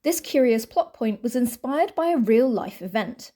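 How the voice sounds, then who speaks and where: A woman's voice: on the high side, lively intonation, normal speed, dark tone. A woman reading a scripted documentary voice-over as narrator.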